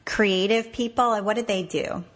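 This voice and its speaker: A woman's voice: mid-range voice, fairly even intonation, normal speed, a bit bright. A woman hosting a podcast interview, friendly, with ready laughs and short affirming replies. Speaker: podcast host. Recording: long reverb on voice.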